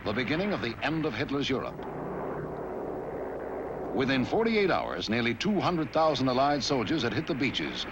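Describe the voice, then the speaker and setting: A man's voice: medium pitch, sing-song, moderate pace, pauses often, noisy background. A man reading scripted historical narration for a war documentary.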